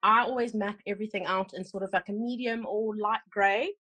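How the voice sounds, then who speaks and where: A woman's voice: mid-range voice, fairly even intonation, brisk, a bit bright. A woman with a South African twang, a guest artist chatting casually on a podcast, rambling with fillers and restarts.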